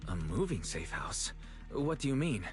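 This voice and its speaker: An actor playing a calm, helpful, modest young man in a video game, with a considerate, inquisitive manner. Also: lowish voice, moderate pace, soft background music. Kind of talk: video game story voice acting.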